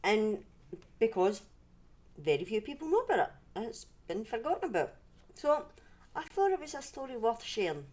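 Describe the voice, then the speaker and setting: A woman's voice: fairly high pitch, very animated, moderate pace, long pauses, darkish tone. A woman with a Scottish accent hosting a livestream in a casual, rambling way, often breaking off and restarting her sentences. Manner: rambling, self-interrupting, conversational. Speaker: livestream host and storyteller. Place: indoors at home.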